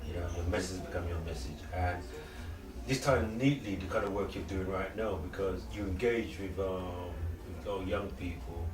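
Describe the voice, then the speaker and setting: A man's voice: low-pitched, moderate pace. A man hosting an informal interview, effusive and encouraging, often chiming in with agreement. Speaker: interviewer, host. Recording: echoey room, distant mic, clear background noise.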